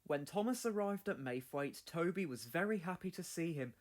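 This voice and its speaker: A man narrates a children's storybook in a notably high voice. His reading is scripted and very animated, with plenty of rise and fall. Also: moderate pace.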